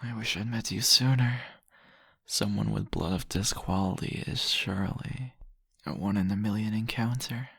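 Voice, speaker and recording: A man playing a seductive, possessive vampire in an intimate ASMR roleplay, teasing and murmuring deliberately in a husky, gravelly voice. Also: lowish voice, a bit bright, heavily acted.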